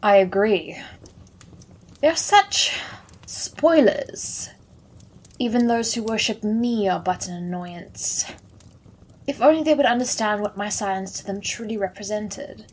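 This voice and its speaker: A remote, amused goddess of death, played by a woman in an audio drama. She speaks in a wry, lofty way at a steady mid-range pitch. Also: measured pace, long pauses, husky. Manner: wry, haughty.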